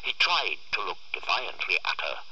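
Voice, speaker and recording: A man reading a literary short story aloud in a theatrical, expressive way. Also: fairly low pitch, moderate pace, husky, no room sound, lo-fi sound. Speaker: story reader.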